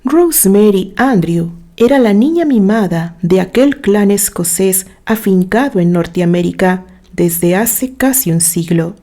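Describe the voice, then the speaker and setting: A woman narrating a scripted story in a gentle, sentimental way. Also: medium pitch, animated, a little slow, few pauses.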